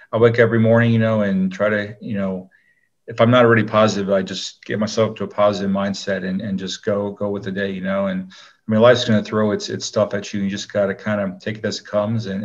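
A man with a low-key, laid-back manner, chatting casually as an interview guest. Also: dull audio. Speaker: guest, actor.

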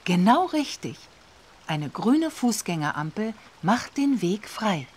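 A woman's voice: mid-range voice, big pitch swings, slow, darkish tone, breathy edge, heavily acted. A woman voicing an encouraging, watchful guide in a children's game, emphatic and instructive. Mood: approving. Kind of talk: children's educational game voice-over.

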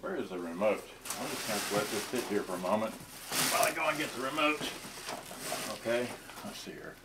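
A man in his sixties chatting casually and haltingly to a camera, muttering to himself as he handles things during an unboxing. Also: lowish voice, animated. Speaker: video host, boombox collector. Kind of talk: casual unboxing and show-and-tell chat. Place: cluttered indoor storage room. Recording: noisy room recording with clicks.

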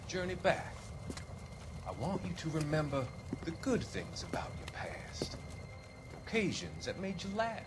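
A man acting as a smooth psychiatrist in a video game, soothing a patient in a deliberate, persuasive manner. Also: fairly high pitch, huge pitch swings, background noise. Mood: soothing, hypnotic. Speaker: doctor.

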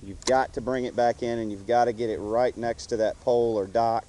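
A man's voice: mid-range voice, fairly even intonation, brisk, warm tone. A middle-aged man casually explaining fishing tips step by step from a boat on a lake, picked up by a camera mic a few feet away. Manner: easygoing, explains step by step with restarts. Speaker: fishing instructor. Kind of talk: casual how-to talk while fishing. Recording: wind and water noise behind.

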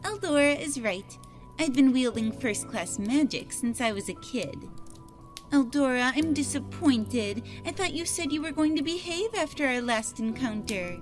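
A woman's voice: sits high, lively intonation, normal speed, faint music behind. A woman playing a confident, teasing catgirl mage in a visual novel. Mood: smug. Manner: teasing, self-assured.

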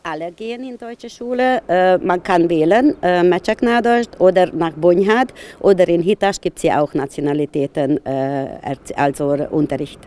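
A middle-aged woman interviewed outdoors, answering with many pauses, filler sounds and restarts into a handheld mic. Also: lowish voice, mellow. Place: outdoors in a village street. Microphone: handheld reporter mic.

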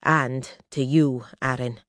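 A woman voicing a scheming, blunt foreign prince in an audiobook, speaking slowly and calculatingly. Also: extremely low pitch, steady tone, dry recording, clean studio sound. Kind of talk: audiobook character reading.

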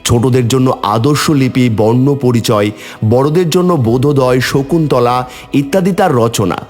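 A man giving expressive scripted narration for a cartoon story. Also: medium pitch, measured pace, in a large hall. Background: faint music.

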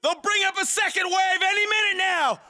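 A man's voice: very high-pitched, a little fast, pushed and bright, shouting, full character voice. A man voicing a young soldier in battle, barking orders and warnings in game voice lines. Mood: commanding. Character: young male American Ranger soldier in battle. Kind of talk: video game combat voice lines.

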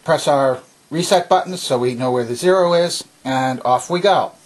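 A man presenting a hands-on demonstration in a methodical, explanatory way, with a slightly husky voice. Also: medium pitch, normal speed, rough recording. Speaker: presenter demonstrating vintage tape recorder. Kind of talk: instructional demonstration narration. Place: indoor home workbench.

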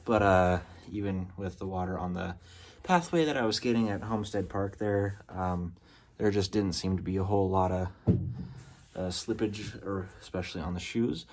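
Low-voiced young man vlogging casually. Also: moderate pace. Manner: relaxed, rambling, with fillers like uh and um. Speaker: skateboarding vlogger. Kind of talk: casual vlog talk to camera. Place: small bedroom, indoors.

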